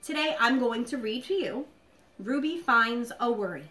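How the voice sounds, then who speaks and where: A woman with a high voice and very lively intonation, speaking as a schoolteacher to young children. She has an expressive, deliberate storytime delivery, as in a read-aloud made at home. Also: a little hiss or hum. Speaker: elementary school teacher reading a picture book aloud. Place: living room at home.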